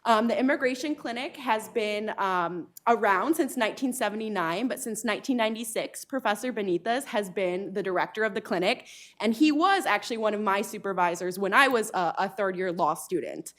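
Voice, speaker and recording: A woman's voice: mid-range voice, brisk, a bit bright. A woman in her thirties from Arizona, a law professor introducing herself at a panel event, earnest, with frequent ums. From Arizona, United States. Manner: earnest, frequent ums, occasional light laughs.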